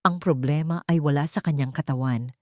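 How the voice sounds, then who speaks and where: A Filipino-accented woman voicing a serious male family doctor in an audio story, speaking in a grave, measured way. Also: low-pitched, warm tone, no echo, studio quality, natural voice.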